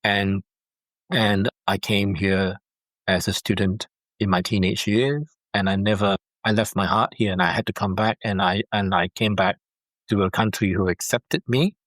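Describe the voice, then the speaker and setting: A man's voice: fairly low pitch, fairly even intonation, normal speed, fairly bright tone, no echo, rough recording. A middle-aged man from Singapore, a foster dad talking earnestly on a call and often repeating words as he thinks. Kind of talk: heartfelt interview over a call. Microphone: video call.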